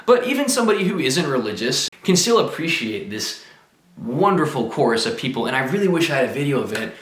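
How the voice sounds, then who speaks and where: Man, travel vlogger, enthusiastic, chatty, mid-pitched voice, echoey room. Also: sing-song, quick pace, fairly bright tone. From United States. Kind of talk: casual travel vlog talk to camera. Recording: roomy echo.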